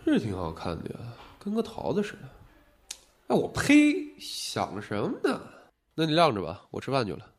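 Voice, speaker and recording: Man acting as deadpan young male doctor. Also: medium pitch, normal speed, a little hiss or hum. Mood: teasing. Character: aloof, teasing young male hospital doctor. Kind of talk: audio drama dialogue.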